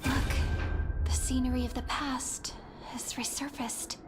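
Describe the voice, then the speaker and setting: Game guide: tender young female storyteller, higher register, lyrical and reassuring, music underneath. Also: level tone, a little slow, in a whisper. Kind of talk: scripted game story narration.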